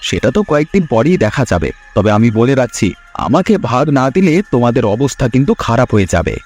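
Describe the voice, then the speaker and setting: A man's voice: medium pitch, very animated, quick pace, darkish tone. A man voicing a greedy, pushy younger village schemer in a cartoon fairy tale, insistent and needling. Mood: threatening.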